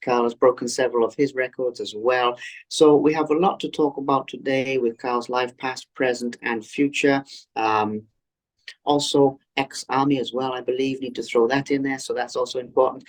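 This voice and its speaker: A man hosting an interview podcast, earnest and effusive, often pausing on "um" as he builds his questions. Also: mid-range voice, steady tone, rough recording. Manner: earnest and effusive, with frequent ums and restarts. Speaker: podcast host.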